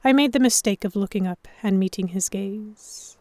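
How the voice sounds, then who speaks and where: A woman plays a young noblewoman telling her own tale in an audio drama. She is stubborn and easily flustered, with a measured, expressive delivery at a medium pitch. The sound is close and dry. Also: no room sound, close to an everyday voice. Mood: tense, wistful narration. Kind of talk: first-person audio drama narration.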